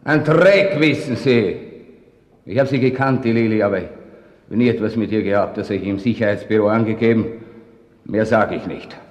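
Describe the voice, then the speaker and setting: Male actor as indignant surly suspect, Viennese accent, lowish voice, radio crime play, long reverb. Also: moderate pace, a little mellow, close to an everyday voice. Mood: defiant. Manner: gruff, indignant. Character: surly, defiant male butcher's worker under suspicion. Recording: echoey reverberant cold-room scene.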